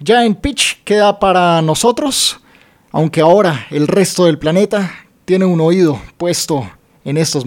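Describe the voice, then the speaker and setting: A man's voice: sits high, darkish tone. A man presenting a music radio show as its host.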